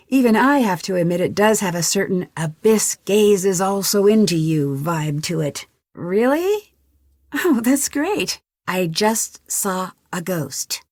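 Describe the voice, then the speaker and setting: A woman's voice: medium pitch, a little mellow, dry recording, clean studio sound. An actress playing a curious, level-headed young female detective, chatting earnestly and a little wryly in a video game. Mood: friendly. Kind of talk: conversational game dialogue, one side of phone calls.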